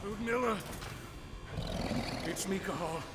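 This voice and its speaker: An actor playing a desperate, commanding werewolf pack member in a video game, pleading urgently and forcefully with a comrade. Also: male voice, high-pitched, slow pace, over music. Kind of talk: dramatic video game voice acting.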